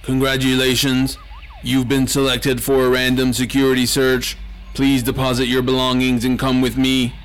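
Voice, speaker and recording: Man voicing a monotone, officious security android. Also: mid-range voice, moderate pace, smooth, natural voice. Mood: forceful. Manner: deadpan, official, forceful commands. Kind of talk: science fiction audiobook character.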